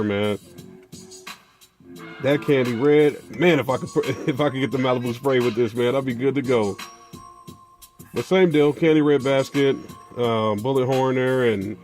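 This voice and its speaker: An American man talks to his viewers like a relaxed product vlogger, in loose, slangy, colloquial phrasing. His voice sits at an average male pitch. Faint music plays behind him. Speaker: car audio video host. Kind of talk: casual unboxing and product chat to viewers.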